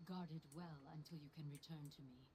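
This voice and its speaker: A woman's voice: low voice, fairly even intonation, fairly quick, warm tone, a little hiss or hum, natural voice. An actress voicing a confident, combative and commanding female video game character. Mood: measured, secretive.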